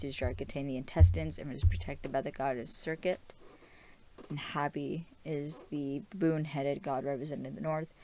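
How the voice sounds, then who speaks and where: A woman's voice: low voice, dark tone, a little hiss or hum. A woman hosting a fan show, recapping a TV episode in a casual, chatty way with lots of fillers and small giggles. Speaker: podcast host.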